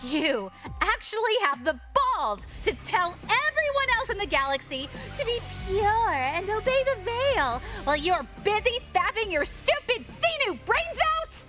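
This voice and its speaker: An actress playing a blunt, crude, expressive female space crew member in a video game. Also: very high voice, measured pace, few pauses, faint music behind, heavily acted. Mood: outraged.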